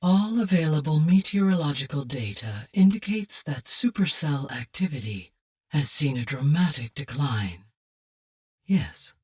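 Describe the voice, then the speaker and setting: An actress playing a calm, benevolent female artificial intelligence in a video game, speaking in formal, measured, precise phrases. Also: deep voice, slightly rough, far from the mic, exaggerated voice. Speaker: game character, advisor. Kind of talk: scripted video game dialogue.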